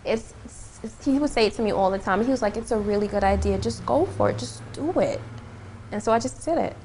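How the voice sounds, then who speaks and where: A woman's voice: mid-range voice, brisk, darkish tone, slight background noise, low-quality recording. A woman in her thirties, a bookstore owner, talking earnestly in an interview, with frequent "um" and "you know". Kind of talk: on-camera interview. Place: small indoor bookstore.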